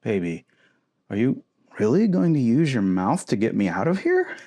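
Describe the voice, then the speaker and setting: A man's voice: mid-range voice, big pitch swings, normal speed, mellow. A man acting a playful, goofy, affectionate shrunken boyfriend in an ASMR roleplay, casual and jokey with theatrical exclamations. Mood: teasing, flustered.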